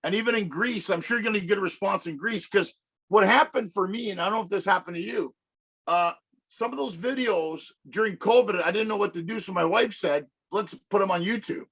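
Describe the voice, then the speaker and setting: A middle-aged man hosting a chat show, telling rambling, animated anecdotes with many restarts. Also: high voice, fairly quick, husky, poor audio. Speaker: podcast host. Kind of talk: casual interview chat.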